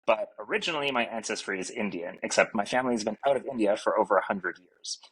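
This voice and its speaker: A man's voice: fairly low pitch, lively intonation, fast talker, fairly bright tone, husky, low-quality recording. A middle-aged man from Canada, a native French speaker, talking earnestly as a podcast guest with many fillers. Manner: earnest, reflective, lots of fillers. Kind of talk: casual interview talk. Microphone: podcast mic.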